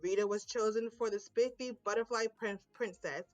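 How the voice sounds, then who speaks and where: A woman's voice: medium pitch, normal speed, poor audio. A woman reading a children's storybook aloud as its narrator. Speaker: storybook reader.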